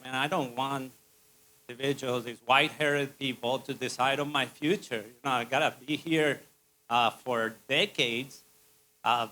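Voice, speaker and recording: A man's voice: medium pitch, a bit bright, rough recording. A man with a Spanish accent speaks to an audience. He is a native Spanish speaker and business owner, presenting informally in a middle-range voice. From Spain.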